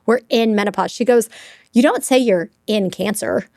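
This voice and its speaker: A woman's voice: medium pitch, normal speed, gravelly, a little mellow, dry recording, studio quality. A woman in her forties, a mother co-hosting a podcast in casual chat, telling earnest personal stories and sharing what she has read. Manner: earnest storyteller, shares personal anecdotes and research. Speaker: podcast co-host.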